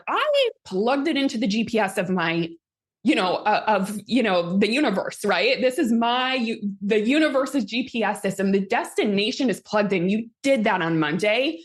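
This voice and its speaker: A woman's voice: on the high side, big pitch swings, moderate pace. A woman in her thirties, a coach leading a live session in an upbeat manner, her voice a little strained from talking a lot. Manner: upbeat, reads out audience comments. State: slightly strained voice, healing. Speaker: host and manifestation coach.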